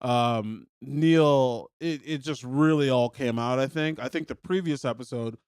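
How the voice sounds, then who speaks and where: A man co-hosting a podcast in loose, joking casual chat, often trailing off into quiet asides. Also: mid-range voice, a little slow, smooth, no echo, studio quality. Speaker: podcast co-host.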